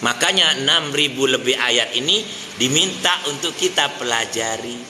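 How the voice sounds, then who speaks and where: A man preaching a sermon, questioning his listeners and chanting verses slowly. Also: medium pitch, sharp tone. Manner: addresses listeners as Pak, asks the crowd questions. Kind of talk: mosque sermon. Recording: hall reverb, faint noise.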